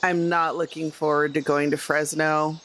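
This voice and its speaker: A woman's voice: low voice, flat delivery, moderate pace, fairly bright tone, slight background noise. A woman chatting casually and informally as a player in a tabletop role-playing game.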